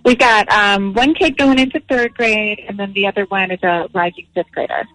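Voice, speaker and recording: A woman's voice: medium pitch, moderate pace. A woman in her thirties chatting casually as a parent on a phone call, with a questioning manner. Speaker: parent receiving a prank call.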